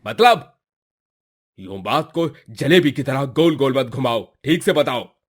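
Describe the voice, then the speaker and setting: A man's voice: mid-range voice, expressive, a little slow, slightly rough. A man voicing a jealous, hot-tempered villainous husband in a moral story, sneering and emphatic. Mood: irritated.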